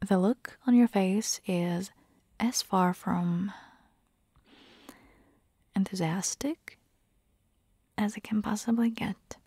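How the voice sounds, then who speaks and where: Breathy, slow-whispering vampire hunter girlfriend played by a woman. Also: mid-range voice, dry recording, clean studio sound, heavily acted. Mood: coaxing, reproachful. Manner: slow, gentle coaxing with playful teasing. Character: caring, teasing young female vampire hunter girlfriend. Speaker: ASMR roleplay performer. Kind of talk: ASMR girlfriend roleplay, intimate whisper.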